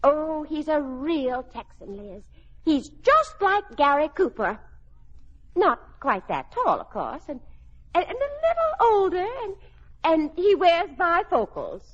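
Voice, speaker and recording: A woman's voice: sits high, huge pitch swings, slow pace, heavily acted. A woman playing a fluttery, girlish older mother in a radio sitcom, hesitant and dithering as she speaks. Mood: flustered, dreamy. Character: fluttery, girlish older mother giddy over her new fiancé. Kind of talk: old-time radio situation comedy acting. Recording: dull old radio recording, studio audience.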